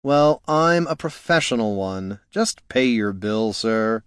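Bored professional warrior, dry and unhurried, a touch above the narrator's pitch, read by a man. Close, dry sound. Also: clean tone, dry recording. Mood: deadpan. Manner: deadpan, slow and matter-of-fact. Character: pot-bellied mercenary warrior, dry and unbothered. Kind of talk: audiobook character voice.

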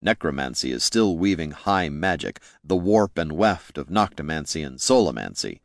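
A low-voiced necromancer's son from a fantasy audiobook, as a male narrator plays him. He is bitter and knowing, explaining in measured phrases. The sound is very dry. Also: sing-song, dry recording, heavily acted. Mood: defensive.

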